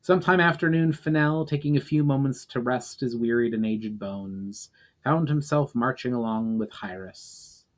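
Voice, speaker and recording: A man reading a fantasy story aloud as dramatic narration, deliberate and occasionally stumbling over words. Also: medium pitch. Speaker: writer reading own novel aloud.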